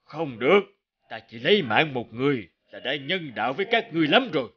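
A man playing a huge horned demon lord in an animated folk tale, commanding, deliberate and threatening. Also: medium pitch, husky, dull audio. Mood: stern.

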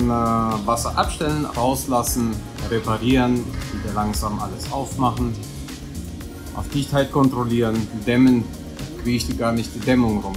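A man explaining plumbing work aloud in a plain, matter-of-fact manner, voice set back from the mic in a hard-walled, echoing heating room. Music plays clearly underneath him. His pitch sits in the middle range. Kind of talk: on-the-job explaining for a video. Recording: echoey room, voice from a distance.

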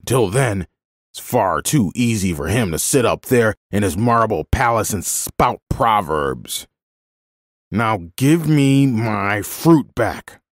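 A man's voice: deep voice, very animated, moderate pace, few pauses, no room sound, studio quality. A man voicing an angry, bullying, miserly vineyard owner who rants scornfully in a dramatised story. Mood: seething. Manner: ranting, scornful.

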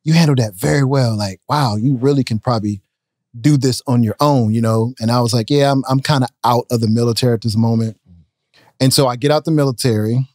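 Relaxed man in a dry studio. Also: medium pitch, fairly quick, dry recording. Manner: relaxed, talks with his hands. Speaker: guest business owner. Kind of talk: casual interview storytelling. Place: podcast studio, indoors. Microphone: studio mic on a boom arm. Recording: dry close studio sound.

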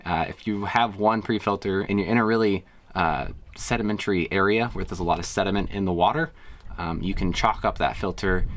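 A man in his thirties giving a relaxed step-by-step how-to explanation inside a small sailboat cabin. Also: lowish voice, a little hiss or hum. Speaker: sailing vlogger. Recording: background music over voice.

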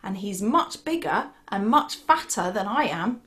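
A woman's voice: medium pitch, lively intonation, a little slow, fairly bright tone. A woman voicing a tiny, timid young male billy goat in a children's story, small and plaintive. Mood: pleading.

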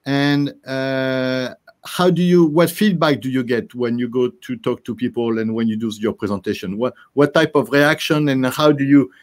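Older male host, video call, halting delivery, lots of filler sounds. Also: mid-range voice, fairly even intonation. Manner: hesitant, many fillers and restarts. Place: office with posters behind.

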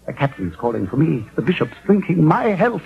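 Boastful, high-voiced young dreamer. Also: male voice, sing-song, brisk, gravelly, husky, heavily acted. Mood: wild exultation. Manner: theatrical, declamatory, impetuous. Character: boastful, dreamy young vagabond with grand delusions. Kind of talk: 1940s radio acting. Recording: dull 1940s radio broadcast.

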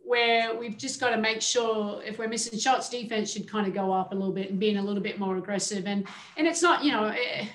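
A woman's voice: medium pitch, fairly quick, hardly any pauses, a bit bright. A middle-aged woman head coach answering questions at a postgame press conference, speaking candidly with plenty of fillers and restarts. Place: indoor media room before a team backdrop. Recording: echoey room sound.

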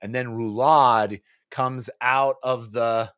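A man's voice: mid-range voice, takes it slowly, smooth, dry recording. A man in his forties chatting casually and jokily as a podcast host, acting out bits of a story, close on a studio microphone. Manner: animated, jokey, acting out characters' lines. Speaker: book club podcast host. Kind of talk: casual recap chat about a novel. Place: small indoor home studio. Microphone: close studio microphone on a boom arm.

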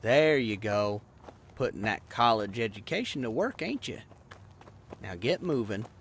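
A man's voice: lowish voice, big pitch swings, unhurried, a little hiss or hum. A man playing a hot-tempered young small-town thug in an audio drama, taunting in coarse slang. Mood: menacing. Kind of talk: audio roleplay acting.

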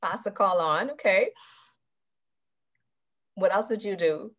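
A woman in her thirties giving a calm, measured talk to a club over a video call and putting questions to her listeners. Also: medium pitch. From Bahamas. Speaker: club speaker. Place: indoors with a virtual background. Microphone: webcam on a video call. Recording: dull video-call audio.

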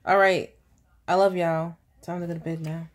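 A woman's voice: fairly low pitch, flat delivery, normal speed. A woman in her thirties, a mother chatting and teasing her daughters at bedtime, filming on a phone in bed. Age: 30s. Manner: playful teasing, repeating questions. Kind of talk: casual family chat at bedtime. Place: bedroom, lying in bed indoors. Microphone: phone camera held at arm's length.

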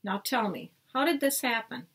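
A woman teacher voicing a kind, cheerful woman doctor in a storybook read-aloud, with a bright, reassuring manner and a breathy tone. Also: on the high side, normal speed.

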